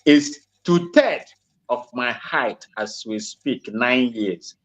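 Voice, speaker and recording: A man, an Igbo speaker, calling in and talking politely in a halting way. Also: mid-range voice, animated. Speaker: caller. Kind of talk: casual call-in talk.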